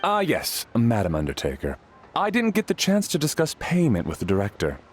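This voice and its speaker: An actor playing a polite, worried household butler in a fantasy video game, speaking in a deferential, formal and hesitant way. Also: male voice, mid-range voice, huge pitch swings, moderate pace, slight background noise. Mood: courteous. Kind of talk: scripted video game dialogue.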